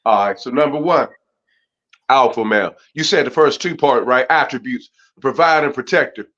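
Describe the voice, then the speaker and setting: Male guest with a deep voice, emphatic and sermon-like, on a dull lo-fi recording. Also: moderate pace, fairly bright tone. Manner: emphatic, preachy, keeps asking "right?". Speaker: guest, boxer and community mentor. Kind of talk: casual interview talk.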